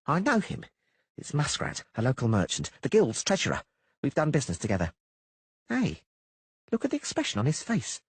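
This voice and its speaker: A man's voice: mid-range voice, huge pitch swings, slightly rough, dry recording, voice pushed up, exaggerated voice. A man voicing a blunt, wry male halfling merchant. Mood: amused. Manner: brisk, snappy.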